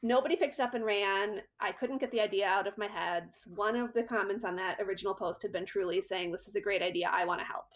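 A woman's voice: medium pitch, fast talker, few pauses, poor audio. A middle-aged woman, a costume designer, telling a story in casual interview talk and acting out her own quoted thoughts.

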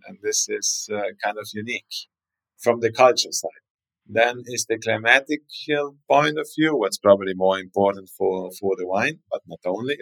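Guest on a podcast: man, native German speaker with a South Tyrolean accent, gravelly, halting delivery. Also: medium pitch, rough recording. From South Tyrol, Italy. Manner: hesitant, repeats words while searching for English. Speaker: winery guest. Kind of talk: podcast interview.

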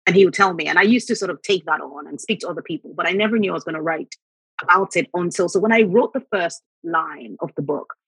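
A middle-aged woman from Nigeria whose first language is Yoruba, telling reflective stories about her writing and repeating words when she gets excited. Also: fairly low pitch, fast, creaky, husky, no echo, rough recording. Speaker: author guest. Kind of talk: conversational interview.

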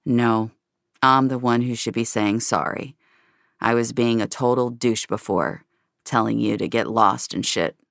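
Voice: mid-range voice, fairly even intonation, unhurried, gravelly, fairly bright tone, no room sound, studio quality. A narrator voicing a bitter, apologetic male celebrity entertainer in an audio drama. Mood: weakly apologetic.

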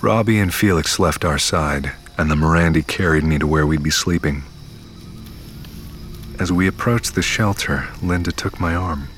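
A man acting as the narrator of an audio drama, playing a weary, haunted survivor who tells his story gravely and in measured phrases. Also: sits low, a bit bright, a little hiss or hum. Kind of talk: scripted first-person audio fiction narration.

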